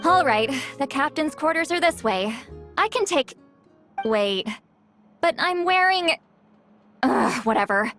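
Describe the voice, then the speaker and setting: A woman's voice: sits high, lively intonation, slow pace, pauses often, fairly bright tone, full character voice. A woman voicing an earnest, polite and slightly flustered young woman in a video game, with a hesitant, sincere manner. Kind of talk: video game character acting. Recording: faint music behind the voice.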